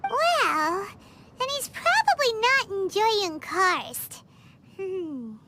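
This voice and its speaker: Tiny childlike game fairy: extremely high, wildly swooping, chirpy, with loud noise behind. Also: female voice, sing-song, extremely slow, full character voice. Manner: squeaky, sing-song. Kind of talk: scripted video game voice acting.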